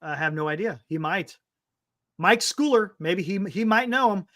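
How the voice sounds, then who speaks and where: A man's voice: sits high, animated, normal speed, fairly bright tone, no echo, clean studio sound. A man in his fifties hosting a casual sports talk stream, speaking emphatically and repeating his points. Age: 50s. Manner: emphatic, repeats himself, calls people "man". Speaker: sports talk show host.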